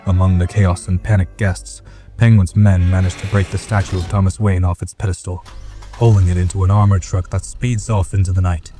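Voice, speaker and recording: A man's voice: deep voice, level tone, fairly quick, dark tone, distant mic, soft background music. A man giving dramatic scripted narration as the narrator of an audio drama.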